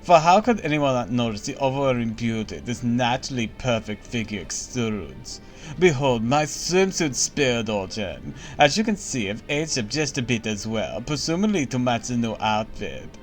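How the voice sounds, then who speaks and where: A man's voice: mid-range voice, big pitch swings, normal speed, lightly acted. A man voicing a vain, imperious empress with a put-on German accent, haughty and pompous, an impish and cruel grown woman, with faint game music behind. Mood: smug, preening. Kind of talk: voicing game dialogue on a stream.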